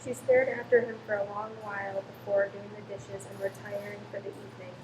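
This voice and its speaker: A woman's voice: mid-range voice, flat delivery, brisk. A woman reading dramatic scripted narration for a radio play. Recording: echoey room, faint noise.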